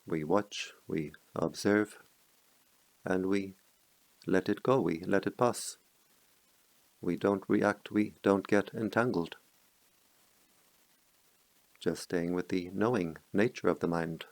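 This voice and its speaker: A middle-aged man leads a guided meditation in a slow, calm, softly spoken way, with long pauses between phrases. His voice sits very low and carries a gravelly texture. The sound is close and dry, with no room echo. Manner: chants Pali verses in a low murmur. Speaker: meditation teacher.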